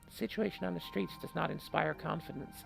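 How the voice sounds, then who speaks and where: A game player voicing a wry, self-reproaching female visual novel protagonist who narrates in deliberate, literary first person. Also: mid-range voice, expressive, vocal fry, fairly bright tone, faint music behind. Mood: wary, resolved.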